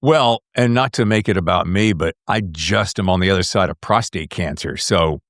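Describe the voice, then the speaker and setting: A middle-aged man hosting a casual interview show, talking in an excitable, geeky and slangy way. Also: deep voice, normal speed, no room sound, clean studio sound. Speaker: podcast host.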